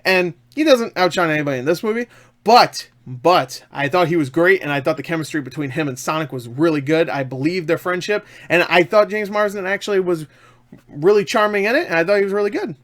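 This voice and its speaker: A man with a fairly high-pitched voice chats through an unscripted review. He is enthusiastic and emphatic, circling back over his points in a loose, rambling flow. The delivery is casual throughout, like an informal vlog. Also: fast talker, fairly bright tone. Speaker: film reviewer.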